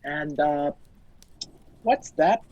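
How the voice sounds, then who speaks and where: A husky, very animated gentleman hero of a radio play, acted by an amateur. He is polite, wry and easily flustered, halting and exclaiming. The audio is dull, dry video-call sound. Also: sits low, no echo, heavily acted. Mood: uneasy. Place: indoors, performed over a video call.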